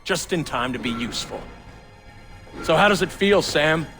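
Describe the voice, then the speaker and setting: Smug ruthless spy boss villain for a game, highish forceful voice, taunting. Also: male voice, expressive, soft background music. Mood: smug, menacing. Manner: taunting, drawn-out emphasis. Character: smug, ruthless male rogue agency boss. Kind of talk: dramatic video game villain acting.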